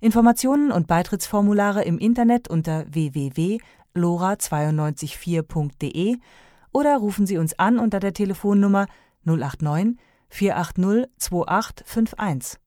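Dry, warm-voiced female station announcer. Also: mid-range voice, lively intonation, no echo. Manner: slow, deliberate spelling of web address and phone number. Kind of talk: station promo read.

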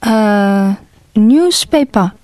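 A woman's voice: medium pitch, animated, extremely slow, darkish tone. A woman in a live radio play, playing a polite, persistent neighbour with a Japanese accent and short phrases. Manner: short polite phrases, eager affirming interjections.

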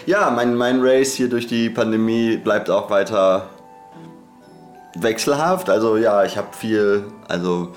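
Podcast co-host, androgynous mid-range voice, casual and deadpan-playful, light music underneath. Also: mid-range voice, measured pace. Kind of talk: casual chat.

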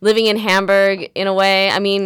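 A young American woman hosting a radio show in casual chat, animated, laughing often and swearing freely. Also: mid-range voice, normal speed, clean tone, dry recording, studio quality. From United States.

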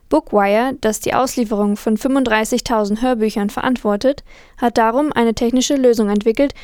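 A woman's voice: on the high side, darkish tone, no room sound, clean studio sound. A woman reading scripted quotations for a news show. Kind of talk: scripted read-aloud.

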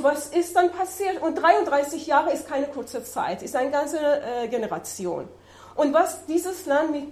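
A woman from Iran with a Persian accent, giving an adult-education lecture in a halting way with many fillers as she searches for words. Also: high-pitched, darkish tone, roomy sound. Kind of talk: public lecture with map slides. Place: lecture room.

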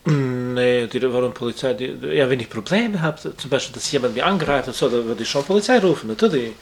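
A man from abroad answering interview questions in a halting way, repeating words and restarting sentences. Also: mid-range voice, lively intonation. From outside Germany. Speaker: interviewee, acquaintance of the victim. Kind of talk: hesitant conversational interview.

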